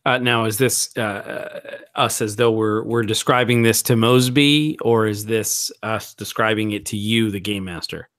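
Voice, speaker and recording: A man playing a roleplaying game, improvising his story in a halting, deliberate way as he thinks aloud. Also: on the low side, fairly even intonation, a bit bright, no room sound, clean studio sound.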